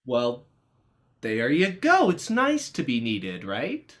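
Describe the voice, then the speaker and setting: A man's voice: medium pitch, huge pitch swings, slow pace, a bit bright, exaggerated voice. A man playing an awkward, kindly teenage boy, hesitant and placating, in a comic stage play reading.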